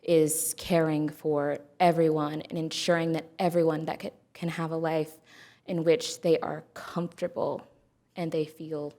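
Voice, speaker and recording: A young adult woman introducing herself sincerely as a commission member at a city council meeting, pausing often. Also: low voice, vocal fry, warm tone. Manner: sincere, many filler pauses. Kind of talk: public meeting remarks.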